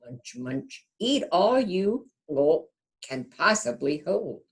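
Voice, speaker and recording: A woman's voice: mid-range voice, sing-song, slow, gravelly, voice pushed up, heavily acted. A woman voicing a protective, grumpy mother bear from a storybook in an emphatic, exclaiming manner. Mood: coaxing.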